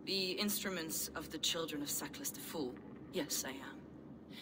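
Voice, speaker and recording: A deep female voice, playing a devout and secretive heretic in a game. She speaks in measured, archaic riddles, with clear ambience behind her. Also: noisy background. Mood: cryptic. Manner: measured, cryptic, archaic phrasing. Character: enigmatic, devout heretic woman, collector of sacred relics. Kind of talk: dramatic video game acting.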